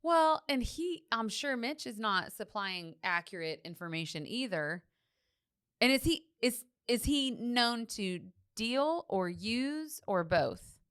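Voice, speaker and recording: A woman's voice: on the high side, smooth. A woman co-hosting a true-crime podcast, asking lots of questions and joking along in casual chat. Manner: inquisitive, animated, quick to laugh and joke. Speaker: podcast co-host.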